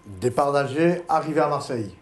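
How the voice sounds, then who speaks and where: A man's voice: fairly high pitch, animated, brisk, reverberant, slight background noise. A man hosting an interview, asking probing questions in a conversational way.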